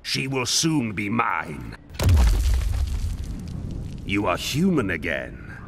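An actor playing a haughty, menacing fallen god in a fighting game, with pompous, contemptuous, measured speech. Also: male voice, lowish voice, huge pitch swings, gravelly, noisy background, heavily acted. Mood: menacing disdain. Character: haughty, menacing fallen Elder God, male demon king. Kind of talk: theatrical fighting-game villain acting.

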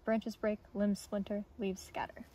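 A young woman reads a nature storybook aloud in an ordinary mid-pitched voice. She is outdoors in the woods, with faint ambient noise around her. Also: flat delivery, unhurried, mellow. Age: young adult. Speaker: intern reading a storybook aloud. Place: outdoors on a forest trail. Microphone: camera or phone a short distance away.

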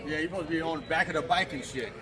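A man's voice: on the high side, racing. A man talking casually during a motorcycle ride, offhand and slangy. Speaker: riding companion. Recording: loud road and wind noise.

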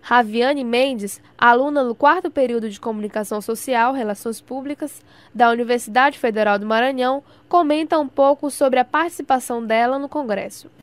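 Brazilian lady doing a scripted radio news report, really high voice, dry close sound. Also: fairly quick, dry recording.

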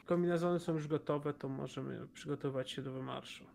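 A man's voice: a little high, steady tone, fairly quick, gravelly, a little mellow, a little hiss or hum. A man playing a tabletop role-playing game, casually discussing plans with other players.

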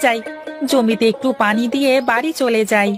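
Woman voicing a high-pitched, naive cartoon farmer lad. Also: moderate pace, natural voice. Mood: hopeful, weary. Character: naive, hardworking male farmer, trusting and plaintive. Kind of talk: animated moral story acting. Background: prominent background music.

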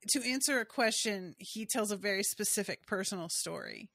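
A woman's voice: medium pitch, normal speed, a bit bright, no echo. Dry-sounding woman co-hosting a chatty podcast. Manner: conversational, trails off into asides. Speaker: podcast co-host. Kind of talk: casual recap chat about a TV episode.

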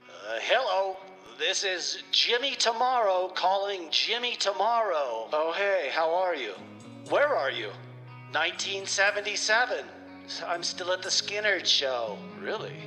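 Man doing a goofy male time traveler, high-pitched, phone skit, comedy radio, light music bed. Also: big pitch swings, a little slow, sharp tone, heavily acted, rough recording. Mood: chatty. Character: goofy time traveler phoning his own clone.

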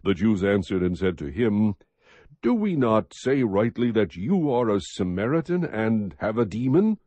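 A man narrating scripture as an audiobook, reading in a measured, expressive, husky voice. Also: on the low side, dry recording.

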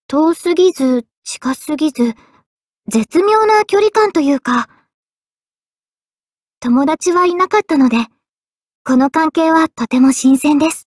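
A woman playing an earnest, polite, quietly self-deprecating young female mage in expressive anime game voice lines. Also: sits high, a little slow, pauses often, no echo, studio quality. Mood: polite, friendly. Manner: polite, expressive, shifting between excited and subdued.

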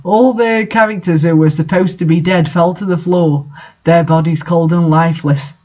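High-pitched man reading aloud theatrically. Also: fairly even intonation, moderate pace, darkish tone, highs cut off. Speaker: host reading fan fiction aloud. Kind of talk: dramatic reading with casual asides.

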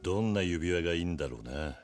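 An actor playing a stoic, plainspoken yakuza lieutenant in a video game cutscene, terse, gruff and a little awkward. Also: male voice, low voice, animated, fast talker, faint music behind. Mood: puzzled, resolving. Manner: terse, gruff, hesitant replies.

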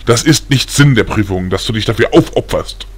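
A man voicing a strict, gruff but loving old grandfather who lectures sternly in an audio story. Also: lowish voice, animated, brisk. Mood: stern, disappointed.

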